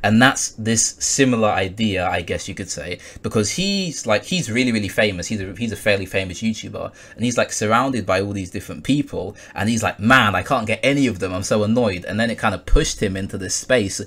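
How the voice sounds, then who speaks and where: A man's voice: lowish voice, brisk, few pauses, a bit bright. A twenty-year-old man, a podcast guest, talking casually and hesitantly with many ums and likes. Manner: hesitant, full of ums and likes, laughs often. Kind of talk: casual conversation.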